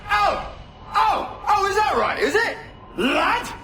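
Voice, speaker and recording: An actor playing a jealous, blustering pirate rogue in a video game cutscene, loud and exaggerated. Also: male voice, very high-pitched, big pitch swings, takes it slowly, heavily acted. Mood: mocking outrage. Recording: echoey, clearly noisy ambience.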